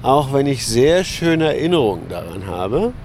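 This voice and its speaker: Man, mid-pitched voice, candid casual interviewee, searching for words, faint outdoor urban noise. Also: very animated, normal speed, a little mellow. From Berlin, Germany. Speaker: interview guest. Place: outdoors on a large urban lawn. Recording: noisy outdoor recording with traffic ambience.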